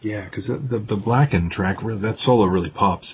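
Give me a deep-voiced man interviewing a musician over a thin phone line. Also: a little fast, slight background noise. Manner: casual, lots of short agreeing replies.

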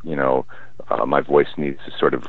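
A man who is a musician, talking in a relaxed, thoughtful way and often restarting his sentences in a casual interview. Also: extremely low pitch, normal speed, gravelly, husky, no echo. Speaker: musician guest. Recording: tinny phone line, dry.

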